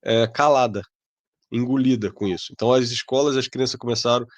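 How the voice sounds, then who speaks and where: A man's voice: medium pitch, steady tone, a little fast, no echo. A man with a Brazilian Portuguese accent, a missionary parent, answering an interview in a hesitant way and repeating words as he searches for them.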